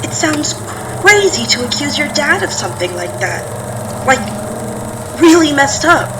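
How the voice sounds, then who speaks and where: A woman's voice: sits high, big pitch swings, a little slow. A woman playing a guarded, impatient daughter and sister in a naturalistic audio drama, with terse, prodding, conversational delivery. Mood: guarded, troubled. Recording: distant from mic, clear background noise.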